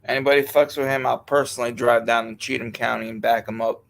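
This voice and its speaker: A man's voice: mid-range voice, monotone, vocal fry, a bit bright, a little hiss or hum. A man hosting a live stream, chatting in casual crude banter, easygoing and swearing freely. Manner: easygoing, swears a lot, quick to laugh.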